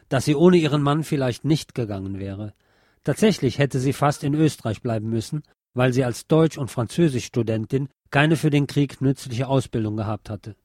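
A man's voice: mid-range voice, a little mellow, dry recording. Man reading documentary narration, dry studio sound. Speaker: narrator of a history radio series.

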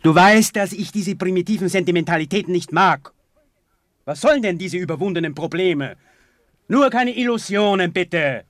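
A man's voice: sits high, moderate pace, fairly bright tone, dry recording, clean studio sound. A man acting in a radio play as a brusque, scornful man who despises sentimentality, firing curt, probing questions.

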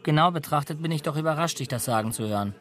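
An actor playing a brooding, superstitious and pedantic criminal drifter in a radio drama, intense and uneasy. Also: male voice, a little high, lively intonation, fast, a bit bright, soft background music. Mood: coolly pointed.

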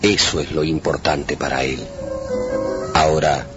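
A man narrating an old radio drama from a script in a solemn, measured, theatrical way. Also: very deep voice, steady tone. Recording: dull archive radio recording, music behind.

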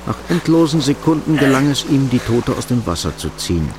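A man's voice: mid-range voice, sing-song, brisk, over music. A man reading scripted literary narration for a radio drama.

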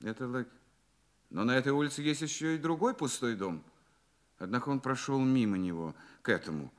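Guy playing a sharp confident detective in a radio drama, mid voice, thinks out loud, polite, repeats himself. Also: medium pitch, lightly acted. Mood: analytical. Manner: musing, deliberate, repeats words. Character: shrewd, self-assured male detective, thoughtful and courteous. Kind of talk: Russian radio play acting.

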